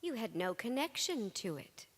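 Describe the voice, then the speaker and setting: A woman reciting a dramatic spoken word piece with emphatic, deliberate phrasing. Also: fairly high pitch, sing-song. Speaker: reader in a dramatic reading. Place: large reverberant temple hall. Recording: long hall reverb.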